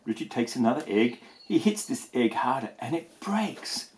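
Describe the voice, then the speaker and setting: A man's voice: mid-range voice, sing-song, normal speed, noisy background. A man reading a children's storybook aloud in a playful way, with chatty asides. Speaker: storybook reader. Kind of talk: animated children's read-aloud.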